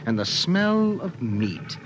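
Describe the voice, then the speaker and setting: An actor narrating as a brooding, ominous storyteller in a theatrical, intense 1950s radio style. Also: male voice, medium pitch, sing-song, slow, heavy background noise. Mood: vivid, intense. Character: brooding storyteller voicing vivid, ominous prose. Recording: old radio broadcast recording.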